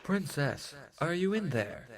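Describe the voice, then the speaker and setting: A man's voice: fairly high pitch, sing-song, slow pace, noisy background, pitched above the performer's own voice, heavily acted. A man playing a dashing, cocky space captain with jaunty old-fashioned bravado in a retro sci-fi audio drama.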